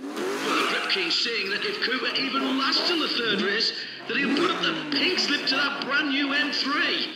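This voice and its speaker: Male race-event hype man: very high voice, showman exclamations, booming echo with loud music behind. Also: big pitch swings, normal speed, recorded from a distance, full character voice. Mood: excited. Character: excitable male race-day announcer hyping the crowd. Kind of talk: event commentary over a PA. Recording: echoing PA under loud music.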